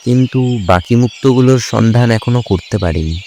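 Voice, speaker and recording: A man playing a brisk, authoritative police officer in an audio drama. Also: mid-range voice, a little mellow, a little hiss or hum, lightly acted. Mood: composed.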